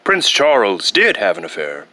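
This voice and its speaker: A man voicing a smooth, matter-of-fact second-in-command to a villain in a film comedy impression, measured and businesslike. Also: on the low side, huge pitch swings, pushed and bright, dry recording, pitched below the performer's own voice, exaggerated voice. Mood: dry, corrective.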